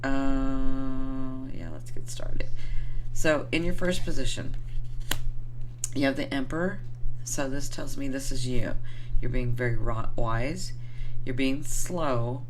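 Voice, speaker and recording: Hoarse woman doing a tarot reading, kinda rambly and sweet, lots of ums, bit of hiss behind her. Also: very deep voice, slow pace, pauses often. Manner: affectionate, rambling, lots of fillers. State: hoarse, getting over the flu. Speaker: tarot reader.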